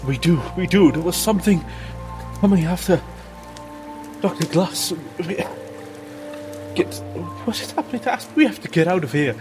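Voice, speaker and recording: A man playing a tormented, defiant inquisitor in a tabletop game, speaking in halting, emphatic phrases. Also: high-pitched, expressive, over music, voice pushed up. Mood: shaken, urgent. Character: tormented, defiant male inquisitor, strained and desperate.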